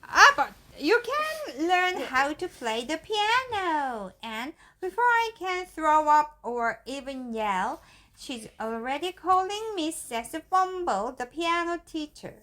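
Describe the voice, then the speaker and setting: Lively high-voiced mom reading. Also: female voice, takes it slowly. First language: Korean. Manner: animated, playful reading with giggles. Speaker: mother reading to her child. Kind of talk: bedtime storybook read-aloud.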